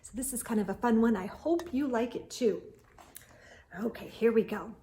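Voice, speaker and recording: A woman hosting a storytime, reading a children's book aloud in an expressive, deliberate storytelling way. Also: a little high, a little hiss or hum.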